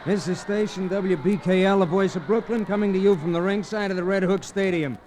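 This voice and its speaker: An actor playing an urgent, clipped ringside radio announcer calling a boxing match in 1940s radio style. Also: male voice, high voice, fairly quick, natural voice. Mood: announcing. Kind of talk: 1940s radio sports commentary. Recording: noisy old radio recording with crowd roar.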